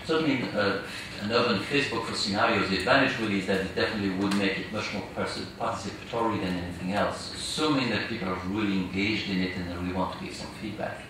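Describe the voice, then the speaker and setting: Male panelist, low voice, halting with fillers, distant mic in an echoey noisy hall. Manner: hesitant, many fillers and restarts. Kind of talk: conference panel discussion. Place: large conference hall.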